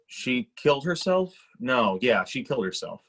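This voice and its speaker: A man's voice: medium pitch, very animated, moderate pace, fairly bright tone. A man acting a sceptical, blunt grown son in a staged screenplay reading, speaking in a dry, matter-of-fact way.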